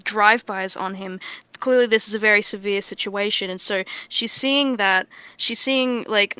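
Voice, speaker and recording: Female creator-director for casual stream discussion. Crisp, forceful, even delivery, earnest and wordy, swears freely, very dry sound. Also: mid-range voice, dry recording. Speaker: audio drama creator and director.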